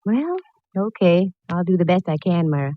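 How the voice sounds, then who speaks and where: A woman's voice: on the low side, lively intonation, normal speed, natural voice. An actress playing a breezy, wisecracking female private eye in 1940s radio style. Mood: friendly. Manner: wry, flip. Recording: muffled, faintly hissy old radio recording.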